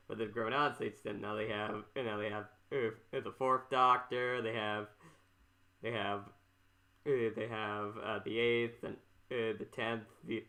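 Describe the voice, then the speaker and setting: A man hosting a podcast in casual chat, talking haltingly and rambling as he repeats himself. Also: on the low side, sharp tone. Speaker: podcast host.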